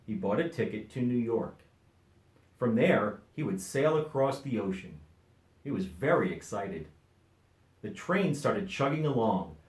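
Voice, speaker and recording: A middle-aged man reading a children's storybook aloud as an expressive narrator. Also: mid-range voice, echoey room. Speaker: volunteer story reader.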